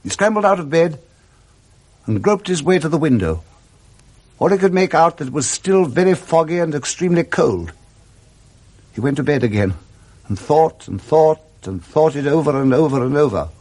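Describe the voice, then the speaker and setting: A man narrating a classic story for 1960s radio in a measured, theatrical storytelling manner. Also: on the high side, lively intonation, a little mellow. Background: music.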